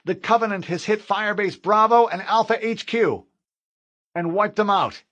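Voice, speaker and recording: A man's voice: high-pitched, normal speed, fairly bright tone, dull audio, voice pushed up. A man reading an audiobook, voicing a tense young male marine corporal who speaks in an urgent, strained way.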